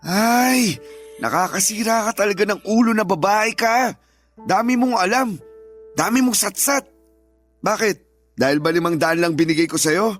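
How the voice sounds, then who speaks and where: Irritable, higher-pitched evasive husband. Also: male voice, normal speed, long pauses, fairly bright tone, faint music behind. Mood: exasperated, angry. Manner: defensive, curt, exasperated. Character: irritable, evasive, dismissive husband, tired from work. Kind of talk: radio drama acting.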